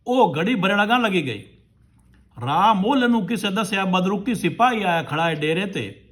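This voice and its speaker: A man's voice: sits high, animated, normal speed, fairly bright tone. A man telling a folk tale to listeners as a storyteller, in short rustic phrases.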